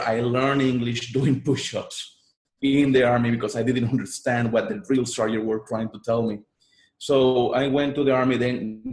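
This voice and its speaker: A man with a Puerto Rican Spanish accent, whose first language is Spanish, speaks earnestly as an interview guest. His pitch sits in an ordinary middle range, and he often repeats words as he builds each thought. The sound is roomy and echoey. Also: darkish tone. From Puerto Rico. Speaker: guest, online marketer. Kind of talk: interview storytelling.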